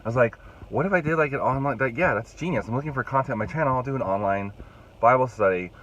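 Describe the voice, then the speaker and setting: A man's voice: mid-range voice, quick pace, a bit bright, lo-fi sound, slight background noise. Young man vlogging with a cold. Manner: rambling, restarts and fillers, chatty. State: congested, fighting a cold, voice rough. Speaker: youth pastor. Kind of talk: casual vlog to camera. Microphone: phone held at arm's length.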